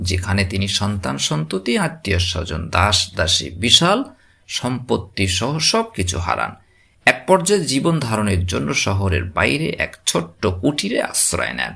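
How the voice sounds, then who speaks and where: Male religious storyteller. Gravelly tone, widely swinging intonation, solemn scripted preaching. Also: medium pitch, fairly bright tone. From West Bengal, India. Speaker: narrator.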